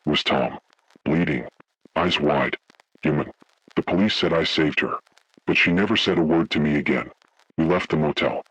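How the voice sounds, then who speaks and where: A man voicing a haunted, grave survivor, narrating a scripted first-person horror story in slow, deliberate, ominous phrases with a gravelly, husky voice. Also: very deep voice, fairly bright tone, no echo, full character voice, rough recording. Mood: grave dread. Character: haunted man recounting childhood motel horror, grave, dread-filled.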